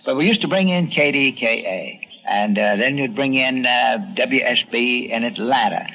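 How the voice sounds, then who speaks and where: An older man with a gravelly voice, reminiscing unscripted in a halting, thoughtful way. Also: medium pitch. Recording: dull, noisy archival interview recording.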